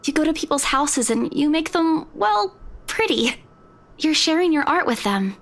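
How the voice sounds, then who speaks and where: A young, eager estate agent, high-voiced. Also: female voice, lively intonation, measured pace, fairly bright tone, full character voice. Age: young adult. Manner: eager, defensive, a bit flustered. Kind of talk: video game voice acting.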